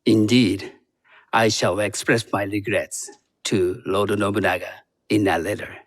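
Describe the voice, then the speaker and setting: An actor playing a composed, shrewd Japanese tea master in a video game, speaking in a measured, formal, guarded way. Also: male voice, lowish voice, flat delivery. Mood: courteous, guarded. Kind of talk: video game cutscene acting.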